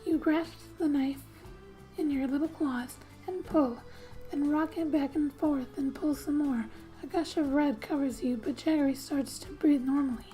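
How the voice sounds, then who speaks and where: Soft, high-voiced woman, breathy and half-whispering. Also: steady tone, moderate pace, poor audio. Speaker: gaming ASMR creator. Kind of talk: soft-spoken ASMR, reading game story aloud with casual asides. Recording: quiet background music. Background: soft music.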